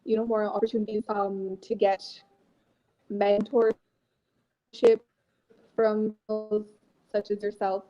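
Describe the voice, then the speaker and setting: Woman guest on online panel, normal pitch, kinda hesitant, dull lo-fi call audio. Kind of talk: informal panel discussion. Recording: muffled, band-limited internet call.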